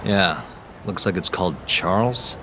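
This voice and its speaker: Cool, low-voiced detective. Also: male voice, a little slow, background noise. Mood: wry. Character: cool, dogged, wry private detective. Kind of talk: video game drama acting.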